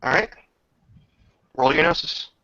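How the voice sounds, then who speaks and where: A man's voice: a little high, expressive, unhurried, sharp tone, no echo, lo-fi sound. A man casually running a tabletop roleplaying game as game master.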